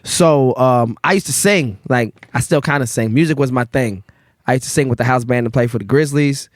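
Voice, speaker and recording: A man's voice: mid-range voice, moderate pace, dry recording, studio quality. A man, telling his life story in a loose, slangy podcast chat. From Memphis, Tennessee. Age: 30s. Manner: laid-back slangy storytelling with fillers. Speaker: guest bartender.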